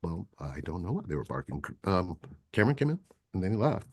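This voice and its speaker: An older man co-hosting a casual spiritual livestream, soft-spoken and rambling, repeating words as he thinks aloud. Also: low-pitched, big pitch swings, brisk, husky. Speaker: co-host of a relationship coaching livestream.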